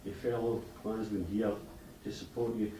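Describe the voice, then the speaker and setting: A lower-voiced man speaks earnestly from the floor, pausing to find his words. He is heard from a distance. The room echoes, with a faint hiss beneath. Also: steady tone, dark tone. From Scotland. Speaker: clan member speaking from the floor. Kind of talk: unscripted remarks at a meeting.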